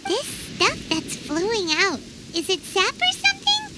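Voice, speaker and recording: Excitable little game fairy girl; squeaky, very high, highly animated. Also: measured pace, heavy background noise, full character voice. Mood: puzzled, uneasy. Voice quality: squeaky, childlike. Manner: exclamatory, refers to herself in third person. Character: small chirpy childlike fairy girl companion, curious and excitable. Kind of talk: anime game voice acting.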